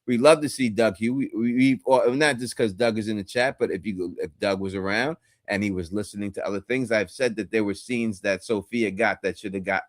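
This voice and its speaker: A man's voice: fairly low pitch, brisk, hardly any pauses, dry recording, clean studio sound. A man co-hosting a casual call-in show, talking in a laid-back, rambling way and swearing now and then. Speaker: co-host.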